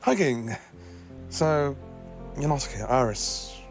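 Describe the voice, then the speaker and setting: A man's voice: medium pitch, lively intonation, slow, faint music behind. A man playing a kindly, awkward alien professor. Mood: concerned. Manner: gentle, hesitant, caring. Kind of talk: audio drama acting.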